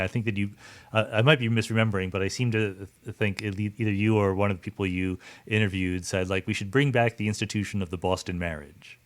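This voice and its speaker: A man hosting a conversational interview, thinking aloud and rephrasing his questions as he goes. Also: lowish voice. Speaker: podcast host, interviewer.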